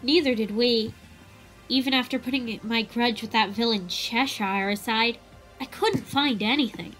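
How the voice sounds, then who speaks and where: A woman's voice: on the high side, measured pace, a bit bright, soft background music, low-quality recording. A woman voicing a proud, determined teenage computer hacker in a fan audio drama.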